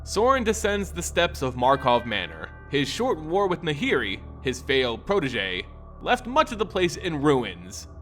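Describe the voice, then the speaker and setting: A man's voice: on the high side, fairly bright tone. A man narrating a scripted fantasy story in a theatrical, brooding manner.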